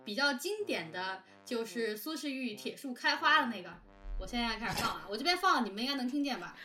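A woman's voice: on the high side, lively intonation, fairly quick, sharp tone, soft background music. A woman hosting a casual group chat and guiding the conversation. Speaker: host.

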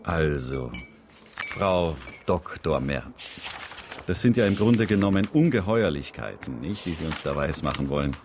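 An actor playing a sceptical senior ministry official in a radio drama, questioning in an officious, dismissive way. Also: male voice, a bass voice, expressive, a little slow, exaggerated voice.

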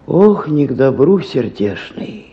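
A woman's voice: a bass voice, big pitch swings, takes it slowly, highs cut off, exaggerated voice. A woman playing a pious, fretful old peasant nanny who mutters laments in a folksy way in a radio drama. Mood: fretful, foreboding.

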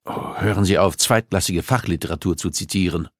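A man voicing a gruff male police investigator with a curt, deadpan, growling manner. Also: low voice, brisk, no room sound, clean studio sound. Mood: dismissive, bitter.

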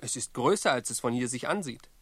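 An actor playing a loyal, sober servant in a radio play, deferential and measured. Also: male voice, medium pitch, lively intonation, fairly bright tone, husky, no room sound. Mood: concerned, reassuring. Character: loyal, sober male servant and travel companion. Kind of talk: German radio play acting.

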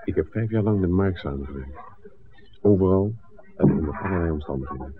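A man's voice: low voice, brisk, lightly acted. An actor playing a worldly, principled man with a wry, bantering way, teasing his friend in a 1960s Dutch radio drama. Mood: friendly, confiding. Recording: muffled, noisy old radio drama recording.

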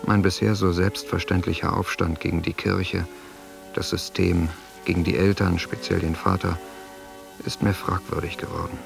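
An actor in a radio drama playing a brooding grown son who reads his diary in a sombre, introspective, measured way. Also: sits low, little pitch movement, creaky, music in the background.

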